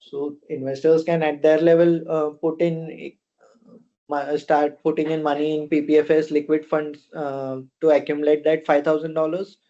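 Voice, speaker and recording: A man with an Indian accent giving unscripted answers as a company officer on a conference call, phrasing things haltingly. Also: a little high, level tone, dark tone. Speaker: fund company officer answering investor questions. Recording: dull, lo-fi conference call audio.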